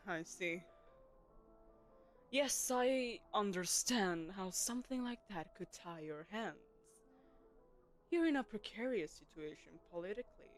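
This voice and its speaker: A woman voicing a poised, commanding telepathic queen who hosts a lavish gala, speaking to the listener in a silken, condescending, persuasive way. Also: lowish voice. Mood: cool. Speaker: voice actress. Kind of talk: audio roleplay, spoken to the listener.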